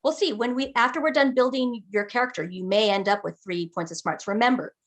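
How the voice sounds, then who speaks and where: A woman's voice: fairly high pitch, a little fast. A woman acting as game master, patiently walking a player through character creation and often checking that they follow. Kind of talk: explaining game rules.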